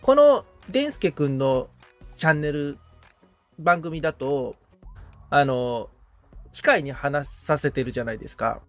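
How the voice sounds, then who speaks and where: Higher-pitched, creaky man in casual chat. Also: expressive, unhurried, long pauses, soft background music. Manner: informal, thinking aloud. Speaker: podcast assistant, guitarist receiving advice.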